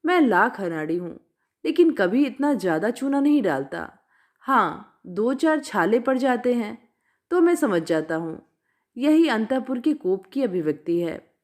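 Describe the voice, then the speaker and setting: An Indian-accented woman narrates a classic short story. Her voice sits fairly high and moves through a wide, animated range of intonation. She reads in an expressive, audiobook-like storytelling style. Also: measured pace.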